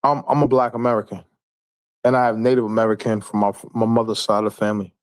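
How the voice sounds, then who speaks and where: Defensive New York caller, late forties. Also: male voice, lowish voice, little pitch movement, fast talker, dry recording. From Queens, New York. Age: 40s. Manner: defensive, repeats himself. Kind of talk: live call-in debate.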